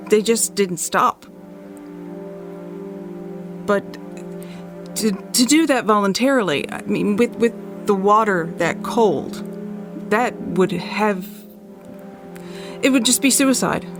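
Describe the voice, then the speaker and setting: A woman playing a shaken eyewitness in an audio drama, speaking haltingly and repeating words as she recalls events. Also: medium pitch. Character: shaken female eyewitness recalling a night drive. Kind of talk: audio drama testimony monologue.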